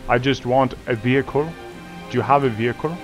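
A man's voice: mid-range voice, fairly even intonation, brisk, music in the background. A man roleplaying a streetwise hustler on a game server, wheedling and tacking "mate" onto his lines in an accent that sounds put on. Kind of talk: in-character game roleplay over voice chat.

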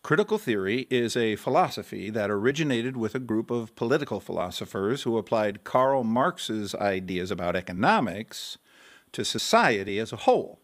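An actor playing a patient, mild pastor who explains things in a measured way in an audio drama. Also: male voice, lowish voice, big pitch swings. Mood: explaining. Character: patient, mild male pastor leading Bible study.

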